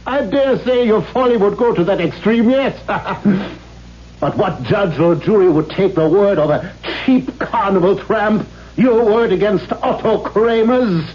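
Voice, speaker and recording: Haughty, high-voiced stern banker. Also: male voice, a little slow. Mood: scornful. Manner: haughty, scornful, clipped formal delivery. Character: stern, pompous, cruel father and banker. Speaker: radio drama actor. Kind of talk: 1970s radio drama acting. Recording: dull lo-fi old radio.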